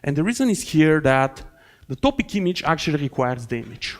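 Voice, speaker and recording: A man's voice: fairly high pitch, sing-song, a little fast. Bulgarian man giving a conference talk. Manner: loose, filler-heavy explaining with restarts. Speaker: conference speaker. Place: conference hall.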